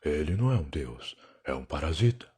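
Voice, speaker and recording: A Brazilian man playing a weary, defiant alien time-traveler in an audio drama, husky and gravelly, with a brooding, sarcastic, theatrical delivery. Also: very deep voice, big pitch swings, fairly quick, darkish tone, full character voice. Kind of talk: dramatic first-person audio drama narration.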